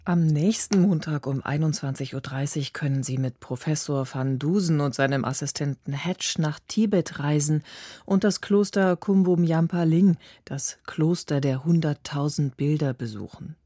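A radio announcer presents programme previews from a script. The tone is soft-edged and dark, recorded in a very dry studio. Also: mid-range voice, moderate pace, husky, no room sound.

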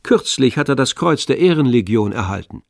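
A man narrating a tragic novel for a radio play in a measured, literary manner. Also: mid-range voice, lively intonation, creaky, darkish tone. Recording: dry studio with faint music.

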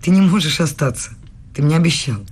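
A woman's voice: sits low, animated, fairly quick, a little mellow, slightly rough. An actress playing a stubborn, tender young woman student in love, in a radio play. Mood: reproachful, surprised.

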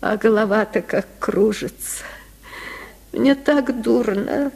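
Man voicing a weak, sorrowful washerwoman, slow and high. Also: gravelly, darkish tone, slightly rough, full character voice. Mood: faint, distressed. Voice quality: weak, plaintive. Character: sick, weary, grieving poor washerwoman, gentle mother. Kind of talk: radio storybook reading.

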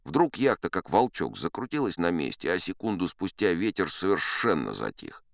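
An actor playing an old sea captain who spins tall tales in a dramatised audiobook, boastful and unflappable, with theatrical asides and dramatic pauses. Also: male voice, sits low, big pitch swings, gravelly, dry recording, clean studio sound, heavily acted. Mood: rueful, wry.

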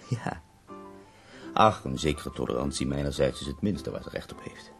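An actor playing a bedridden, dying poet who speaks in ornate, wry, theatrical phrases in a radio drama. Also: male voice, a bass voice, expressive, normal speed, creaky, fairly bright tone, faint music behind, full character voice.

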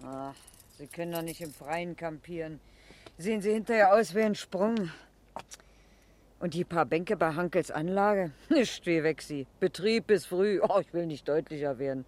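An actress playing a talkative, brisk small-town station attendant in a colloquial 1970s German radio drama. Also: female voice, lowish voice, a little mellow, natural voice. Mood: concerned, bossy. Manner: chatty, folksy, blunt.

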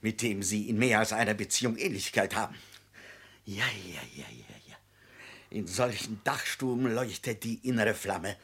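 A shrewd old bookseller in a radio drama. His voice is very husky and crackly, sliding widely in pitch. He talks down to people like a schoolmaster, muttering as he calculates. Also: expressive, normal speed, a bit bright, full character voice. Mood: flattering. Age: older. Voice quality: husky, gravelly. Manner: schoolmasterly, condescending, muttering and chuckling.

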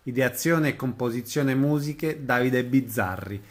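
Man, radio host, medium pitch, announcements and interview questions. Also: a little slow.

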